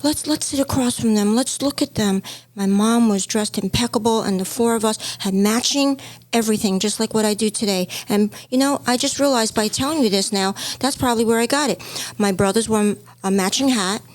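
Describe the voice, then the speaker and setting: A woman's voice: fairly high pitch, fairly quick, low-quality recording. A middle-aged woman from Hong Kong, a native Chinese speaker, co-hosting a casual podcast chat as a stylist and telling stories from her past. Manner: storytelling, warm reminiscing.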